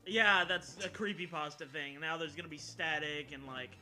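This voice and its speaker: Male co-host, forceful bright voice, sarcastic casual riffing over quiet music. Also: on the high side, normal speed. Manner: sarcastic, sweary. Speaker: co-host commenting on a story. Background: background music.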